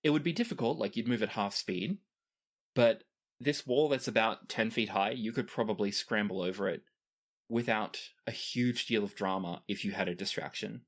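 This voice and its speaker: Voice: medium pitch, normal speed. A game master improvising narration for a tabletop game in a conversational, descriptive way.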